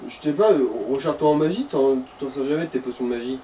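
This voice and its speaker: Mellow-voiced man, a bit off-mic. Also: medium pitch, quick pace. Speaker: co-commentator advising the player. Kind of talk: casual gaming chat. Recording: distant mic with faint noise.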